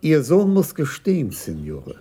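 An actor playing a patient, insistent police commissioner in a radio play, speaking in a measured, persuasive way. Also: male voice, on the high side, huge pitch swings, warm tone, husky, full character voice. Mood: persuasive, firm.

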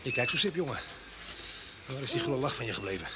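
An actor playing a bossy, sardonic police sergeant in a radio play, brusque and teasing. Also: male voice, medium pitch, lively intonation, quick pace, lightly acted. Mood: teasing, gruff. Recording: very noisy radio drama recording.

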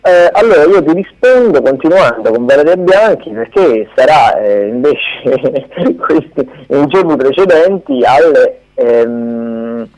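A man chatting unscripted on the radio as he runs through theatre listings, halting and often correcting himself. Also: medium pitch, animated, darkish tone, rough recording. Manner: hesitant, halting, self-correcting. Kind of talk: unscripted radio chat, event rundown.

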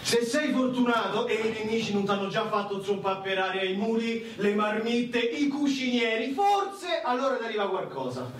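A man's voice: high voice, expressive, normal speed, hardly any pauses, fairly bright tone. A man playing an outspoken, war-weary infantryman in a radio drama, emphatic and colloquial. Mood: wry, argumentative. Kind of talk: stage-style radio drama dialogue. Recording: roomy, distant mic, faint noise.

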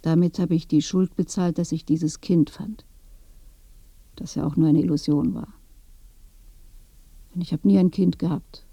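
Very dark, muted old woman. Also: low-pitched, fairly even intonation, a little slow, creaky, long pauses, husky, no echo, full character voice. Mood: weary, bitter. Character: dead old village woman, weary, dry, disillusioned. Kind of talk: German radio play acting, intimate dialogue.

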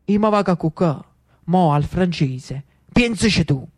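A woman's voice: fairly low pitch, lively intonation, a little slow, a little mellow, no echo. A woman playing a grumpy, doubting Neapolitan priest in a theatrical story, grumbling in a colloquial manner. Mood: weary grumbling.

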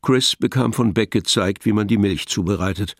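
A man narrating a scripted audio drama in a measured, literary storytelling manner. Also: on the low side, no room sound, clean studio sound.

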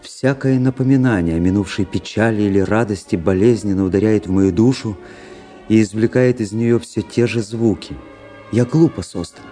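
A man's voice: lowish voice, normal speed, dark tone, soft background music, close to an everyday voice. An actor playing a brooding, world-weary officer who tells his own story introspectively in a literary radio drama. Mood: melancholy brooding. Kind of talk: literary first-person narration in a radio play.

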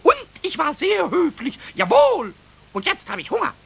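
A childish little kobold for a children's radio play. The voice is extremely high and creaky and swoops around excitedly. He is cheeky, stubborn and whiny. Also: normal speed, exaggerated voice. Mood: mischievous, demanding. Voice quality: squeaky, creaky. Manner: bossy, defiant, repetitive stammering outbursts. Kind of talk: 1970s German children's radio play acting.

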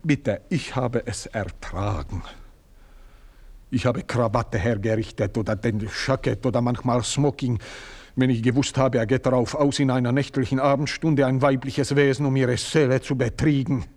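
An actor playing a proud, indignant manservant, speaking in a stilted formal way and bursting into outrage. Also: male voice, mid-range voice, steady tone, moderate pace, a little mellow, close to an everyday voice. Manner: stilted formal speech, swings into outraged outbursts. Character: proud indignant middle-aged male manservant, pompous and wounded. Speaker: servant. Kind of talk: radio play acting.

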